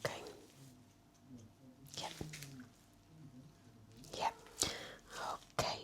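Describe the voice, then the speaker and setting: A woman whispering gently and reassuringly in an ASMR roleplay as a nurse, close to the microphone. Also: very slow.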